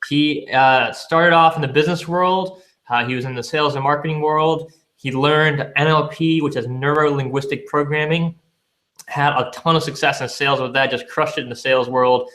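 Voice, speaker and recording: A man's voice: on the high side, steady tone, normal speed, a bit bright. A young man hosting a podcast, easygoing and laid-back in casual interview talk. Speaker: podcast host.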